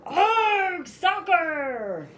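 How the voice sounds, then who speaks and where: A woman reading a storybook and voicing rowdy pirate crewmen who shout back in drawn-out cries. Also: extremely high pitch, big pitch swings, over a noisy crowd, voice pushed up, exaggerated voice. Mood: roaring. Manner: shouting, drawn-out echoing of the captain.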